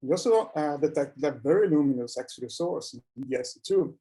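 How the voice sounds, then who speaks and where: A man presenting research in a scientific conference talk, with a halting delivery that often repeats words. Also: fairly high pitch, lively intonation, dark tone.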